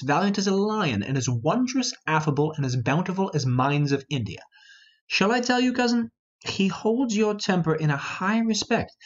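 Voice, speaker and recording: A man playing an earnest, lovestruck young lord in an amateur Shakespeare reading, expressive and lyrical. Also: on the high side, dull audio. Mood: earnest, admonishing.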